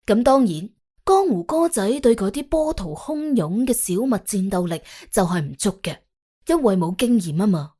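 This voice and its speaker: A woman narrating an audiobook in an animated, colloquial storytelling style with a wry, expressive manner. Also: mid-range voice, moderate pace.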